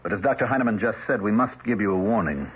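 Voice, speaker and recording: An actor playing a cold, controlling male village elder in a radio drama, speaking in a measured, formal, quietly threatening way. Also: lowish voice, lively intonation, breathy edge. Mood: coldly formal. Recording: muffled old radio broadcast.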